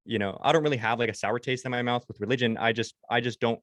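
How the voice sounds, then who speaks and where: A man's voice: mid-range voice, steady tone, fast talker, fairly bright tone, dry recording. A man in his twenties, a dog-trainer podcast co-host, chatting casually and rambling with lots of restarts and fillers. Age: 20s.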